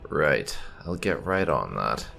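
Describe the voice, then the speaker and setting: A man's voice: extremely low pitch, sing-song, slow, gravelly, pushed and bright, faint music behind. A man hosting a casual roleplay let's-play, musing and hesitating as he talks. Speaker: gaming channel host.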